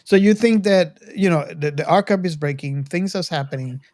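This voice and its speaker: A podcast host speaking casually in a halting way, often restarting sentences, while leading a film discussion. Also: medium pitch, darkish tone, no room sound, clean studio sound.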